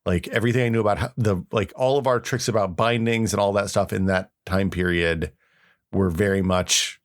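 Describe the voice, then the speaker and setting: A man's voice: on the low side, fairly even intonation, brisk. A middle-aged man co-hosting a podcast in casual, conversational tech chat. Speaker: podcast co-host.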